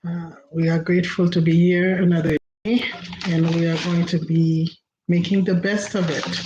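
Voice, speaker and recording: A woman from Haiti whose first language is Haitian Creole, giving an inspirational talk as host, slow and reflective with frequent pauses. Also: fairly low pitch, flat delivery. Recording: roomy, dull lo-fi recording with faint noise.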